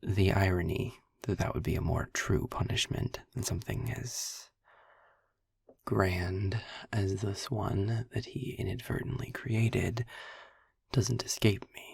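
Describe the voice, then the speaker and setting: Casting: male actor as a weary, sarcastic captive demon for audio roleplay; low, gravel-edged voice, dry eloquence, very close and intimate. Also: no room sound, heavily acted. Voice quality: husky, breathy, gravelly low voice. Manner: dry, sardonic, articulate, deliberate. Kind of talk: audio roleplay, intimate slow monologue to the listener.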